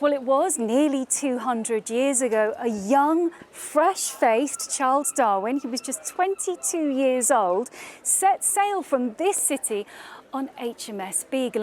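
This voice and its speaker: A woman's voice: sits high, a little mellow, slight background noise. A woman explaining a historical voyage in an interview, in a storytelling way. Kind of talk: interview answer.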